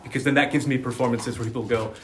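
A man explaining his work as a dialect coach in an interview, articulate and enthusiastic, speeding into quick bursts when he gets excited. His pitch sits mid-range for a man. A faint hum of ambience sits behind him. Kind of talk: conversational interview.

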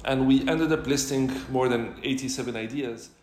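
A man from Morocco with a mid-range voice explains his background as a guest on a podcast. He speaks fluently, in a friendly storytelling way. There is clear room echo and a faint background noise. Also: mid-range voice, moderate pace, a little mellow. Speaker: startup co-founder, guest. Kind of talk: casual interview.

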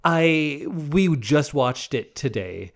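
A man's voice: on the high side, very animated, slow pace. A man in his thirties co-hosting a casual movie podcast, sick with a sore throat and talking with nostalgic enthusiasm. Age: 30s. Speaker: podcast co-host.

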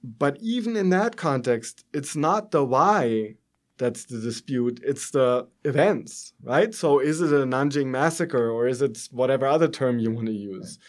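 A middle-aged man with a German accent speaks as an expert guest in an academic interview. His voice sits at a medium pitch. He explains in a halting, thinking-aloud way, often adding a short tag to check understanding. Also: expressive.

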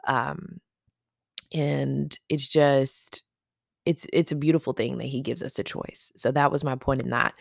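A podcast host with a raspy morning voice, chatting casually and affectionately to listeners about faith. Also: mid-range voice, little pitch movement, measured pace, dry recording, clean studio sound.

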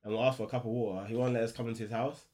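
A man's voice: medium pitch, level tone, rapid-fire, a little mellow. A man who grew up in Ghana, reflecting steadily on his past during a casual group discussion.

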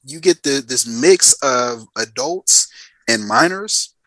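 A man's voice: medium pitch, lively intonation, slow pace, a bit bright, no room sound. A man in his thirties, a podcast guest, telling a story in emphatic casual chat and repeating lines for effect. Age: 30s.

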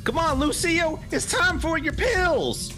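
A frazzled woman scientist in her forties, acted out by a man in a high, swooping voice. She sounds groggy and pleading, as in a dramatic script read. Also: lively intonation, slow pace, bright, forceful tone, faint music behind, full character voice. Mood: coaxing.

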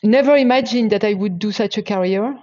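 A woman's voice: mid-range voice, fairly quick, dark tone. French-born woman guest, hesitant and full of fillers, dull muffled audio. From France. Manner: hesitant, many fillers and restarts. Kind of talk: interview answers.

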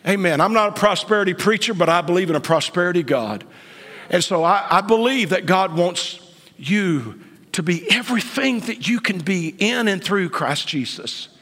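A man in his late fifties, a pastor preaching a sermon in a church, dropping to whispers and then rising to high, loud outbursts. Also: expressive, normal speed. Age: 50s. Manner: swings from hushed whispers to sudden high outbursts. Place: church sanctuary. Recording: long church reverb.

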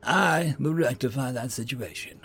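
A man voicing a smug, haughty old magistrate with a gruff, pompous delivery in a fantasy audiobook. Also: medium pitch, normal speed, fairly bright tone, faint music behind. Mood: indignant. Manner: pompous, boastful.

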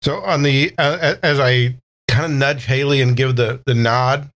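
A man's voice: mid-range voice, steady tone, moderate pace, a bit bright. A man playing a tabletop game, improvising his character's actions and lines in a playful style. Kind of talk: playful improvised role-play.

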